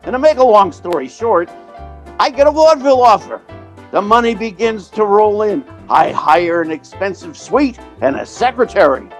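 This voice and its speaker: A slick theatrical agent, acted by a man for an old-time radio play. His voice sits high for a man and he spins his tale like a chatty salesman, with light music behind him. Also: animated, normal speed. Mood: excited, boastful. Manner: slick hustler, chatty storyteller. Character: fast-talking, scheming male theatrical agent narrating his story.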